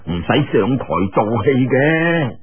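A man voicing a humble, gentle old abbot in Cantonese, speaking modestly and courteously. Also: medium pitch, lively intonation, slow, husky, exaggerated voice. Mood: modest declining. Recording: muffled narrow-band radio-like sound.

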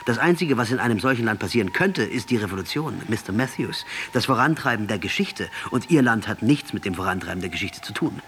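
An actor in a German radio play voicing a fervent, mocking revolutionary commander with a crude mouth. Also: male voice, fairly low pitch, expressive, fast talker, hardly any pauses, fairly bright tone. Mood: fervent conviction. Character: fervent, defiant Latin American guerrilla commander and revolutionary. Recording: noticeable background noise.

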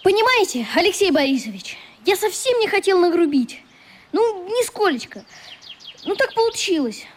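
A very high, lively boy's voice, frank and stubborn, with proud earnestness. It has a boyish, slightly defensive way of talking, as in a radio drama. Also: moderate pace. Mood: earnest, defensive. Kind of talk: radio play acting.

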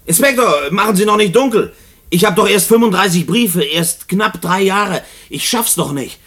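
An actor playing a restless male prisoner in a German radio play, brooding haltingly as if writing out letters. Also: high voice, animated, sharp tone, breathy edge, heavily acted. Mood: desperate pleading. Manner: halting, brooding monologue, spelling out words as he writes.

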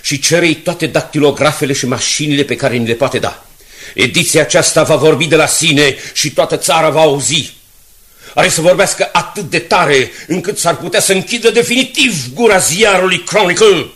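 A man's voice: fairly high pitch, a bit bright, natural voice. A man playing a determined newspaper director under pressure in a radio drama, speaking in a commanding, emphatic way. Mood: determined, rousing. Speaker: actor.

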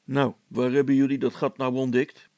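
A man voicing a bossy, confident village constable in a storybook, loud, brisk and commanding. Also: medium pitch, flat delivery, no room sound, natural voice. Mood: bossy, assured.

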